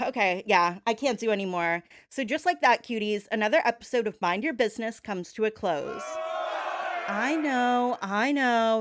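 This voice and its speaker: A woman's voice: a little high, fairly bright tone, no echo. A woman hosting a gossip show in a sassy, dramatic, emphatic and slangy monologue style. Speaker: host of a gossip radio show. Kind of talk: gossip talk show monologue in homage to daytime TV hosts.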